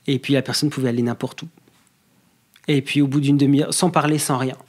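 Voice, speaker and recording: A man's voice: mid-range voice, fairly even intonation, brisk. Hesitant man, podcast guest. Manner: hesitant, many fillers and restarts. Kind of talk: casual conversation.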